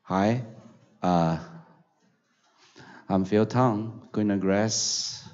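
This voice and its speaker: A Taiwanese man whose first language is Mandarin, giving a presentation in a slow, hesitant, apologetic way. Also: fairly low pitch, a little mellow, dull audio. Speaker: guest speaker. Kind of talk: presentation talk.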